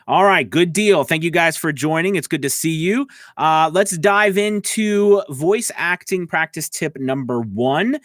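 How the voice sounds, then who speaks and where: A man's voice: a little high, a bit bright, no echo, studio quality. A man hosting a casual live tutorial as a coach, explaining conversationally and checking in with the listener as he goes. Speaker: voice-over coach and show host.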